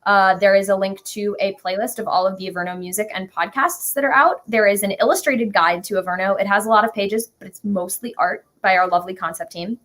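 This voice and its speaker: A woman's voice: medium pitch, a little fast, hardly any pauses, fairly bright tone. A young American woman chatting informally and enthusiastically as an interview guest. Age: young adult. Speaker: interview guest, musical creator. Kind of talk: casual chat.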